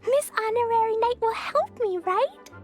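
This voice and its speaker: A woman voicing an excitable, earnest little girl knight in a video game, with a childlike way of talking. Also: extremely high pitch, moderate pace. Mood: earnest, pleading. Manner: childlike, speaks of herself by name. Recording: loud music under the voice.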